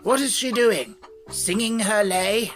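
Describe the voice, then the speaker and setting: Storybook straw man, male reader: raised pitch, cheerful, musing, whimsical. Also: slow pace, over loud music. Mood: curious. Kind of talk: audiobook character voice.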